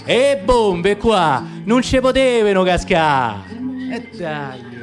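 High-voiced man narrating over music. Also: takes it slowly. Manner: expressive storytelling with lively shifts in pace. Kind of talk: dramatic literary reading for radio theatre.

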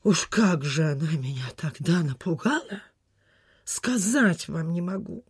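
A woman's voice: sits low, expressive, unhurried, a little mellow, slightly rough, no echo, clean studio sound, exaggerated voice. An actress playing a superstitious, chatty provincial landlady in a radio play, with sighing, gossipy, old-fashioned folksy speech. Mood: chatty, grateful. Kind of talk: Soviet radio theatre acting.

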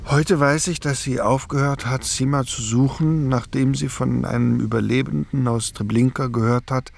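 A man's voice: mid-range voice, steady tone, moderate pace, gravelly. An actor playing an older man who reflectively recalls his childhood in a German radio play. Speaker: eyewitness narrator in a radio documentary drama.

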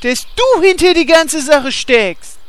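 A brutal, hot-tempered warrior, voiced by a woman. The voice sits high and the delivery is slow and blunt, with crude shouted outbursts. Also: animated. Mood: gruff.

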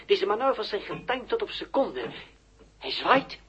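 A man's voice: high voice, very animated, measured pace, pushed and bright, heavily acted. An actor playing a male radio reporter solemnly narrating a rocket launch in a 1960s radio drama. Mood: tense, hushed. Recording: dull, noisy old radio recording.

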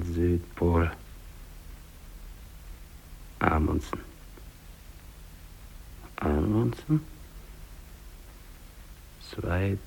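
Exhausted dying explorer, deep gravelly voice, halting resigned monologue, hissy old radio drama recording. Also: male voice, a little mellow, heavily acted, poor audio. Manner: halting, broken phrases with long pauses. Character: dying male English polar explorer, lonely, resigned, exhausted.

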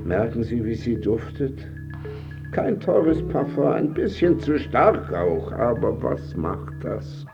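An actor playing an old, nearly blind famous painter in a German radio drama, imperious and wistful, dry and commanding in manner. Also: male voice, medium pitch, big pitch swings, slow, gravelly, muffled recording, music in the background, exaggerated voice. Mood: tender, melancholy. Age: older.

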